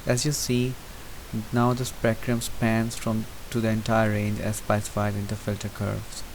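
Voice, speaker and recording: A man giving an unscripted, methodical software tutorial, explaining each step as he goes. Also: fairly low pitch, unhurried. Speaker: presenter demonstrating software. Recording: hissy recording with steady background noise. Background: hiss.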